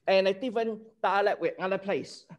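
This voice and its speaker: Older man, Hong Kong accent, higher voice. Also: fast, lo-fi sound. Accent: Hong Kong Cantonese. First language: Cantonese. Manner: earnest, explanatory, checks in with "okay?". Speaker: lecturer. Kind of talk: online class lecture. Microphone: video call.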